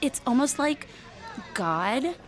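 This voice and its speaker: A woman playing a blunt, gossipy high school girl in an audio drama, halting, conversational and sardonic. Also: sing-song, faint music behind, exaggerated voice.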